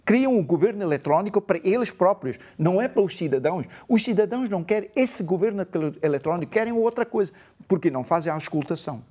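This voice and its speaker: A man's voice: high-pitched, lively intonation, fast, mellow. A man, a native Portuguese speaker, arguing emphatically as a candidate in a televised political debate in a studio. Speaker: election candidate.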